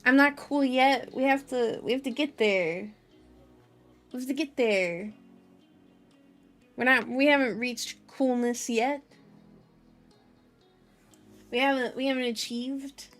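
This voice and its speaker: Female VTuber host, highish voice, playful and childlike, casual stream chatter with light music underneath. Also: slow pace, pauses often. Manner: playful, childlike phrasing, repeats herself. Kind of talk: casual livestream chat. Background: background music.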